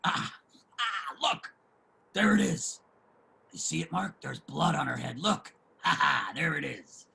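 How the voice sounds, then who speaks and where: A man acting a combative, gravelly street guy, sharp-edged. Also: on the high side, lively intonation, unhurried, heavily acted. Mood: belligerent, taunting. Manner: belligerent, taunting, street vernacular. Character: combative, jealous, foul-mouthed homeless man Joe. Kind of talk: dramatic play reading.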